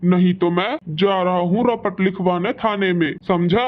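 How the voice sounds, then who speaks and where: A man's voice: high voice, fairly even intonation, a little slow, highs cut off. A man voicing a stubborn, scornful cartoon moneylender bird, an older uncle who bullies, demands and taunts. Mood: angry, demanding. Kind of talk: Hindi cartoon voice acting.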